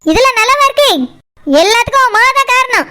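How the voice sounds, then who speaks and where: A woman plays a bossy, hot-tempered cartoon landlady with an extremely high, childlike pitch. She scolds sarcastically in Kanyakumari Tamil, over a very noisy soundtrack. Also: fast talker. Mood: angry, scolding. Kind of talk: Tamil comedy cartoon dialogue.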